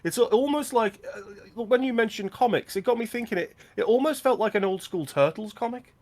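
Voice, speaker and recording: A man co-hosting a film podcast, chatting casually with quick asides and frequent laughs. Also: high-pitched, darkish tone. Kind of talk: casual chat.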